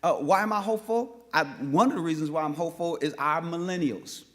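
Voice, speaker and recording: A man's voice: a little high, fairly quick, a bit bright. A man from North Minneapolis giving an impassioned speech at a public forum, often restarting his words. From North Minneapolis, USA. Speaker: panel speaker. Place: forum hall with live audience.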